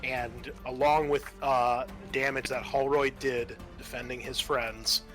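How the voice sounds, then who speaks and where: A man acting as game master, narrating a tabletop roleplaying game in a halting, improvised way. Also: mid-range voice, flat delivery, fairly bright tone, soft background music.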